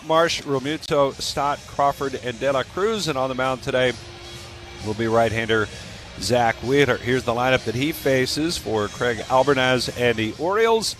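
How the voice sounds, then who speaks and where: A man's voice: medium pitch, fairly bright tone. An American man calling a baseball game live on the radio as the play-by-play announcer. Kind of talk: live sports commentary. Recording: background stadium noise on radio broadcast.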